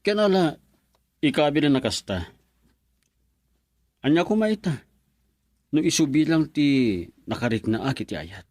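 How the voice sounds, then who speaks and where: An actor playing a pensive young man in a radio drama, speaking in a low-key, thoughtful way. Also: big pitch swings, unhurried, pauses often, darkish tone, dry recording, clean studio sound.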